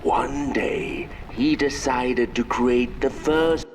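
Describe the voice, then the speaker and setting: A man's voice: medium pitch, slow, slight background noise, lightly acted. A man reading scripted mythic narration in a video game, as a storyteller telling a legend. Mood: solemn.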